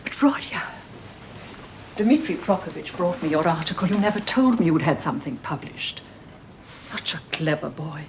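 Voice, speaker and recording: A woman's voice: low voice, lively intonation, normal speed, a little hiss or hum, poor audio. An actress playing a doting elderly mother who rambles and tears up easily, in a radio drama. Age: older. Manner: fussing, rambling, tearful.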